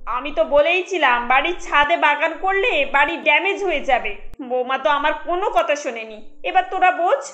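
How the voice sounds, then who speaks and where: Woman acting a high-pitched reproving older mother-in-law. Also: expressive, normal speed, fairly bright tone, soft background music. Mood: scolding. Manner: admonishing, explanatory. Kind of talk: animated cartoon story acting.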